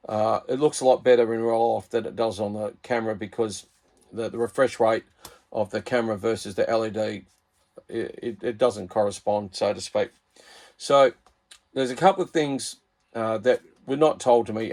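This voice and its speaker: A man's voice: medium pitch, a little mellow. An Australian man in his fifties, a radio hobbyist doing a casual video review in a rambling, laid-back way and using plenty of slang.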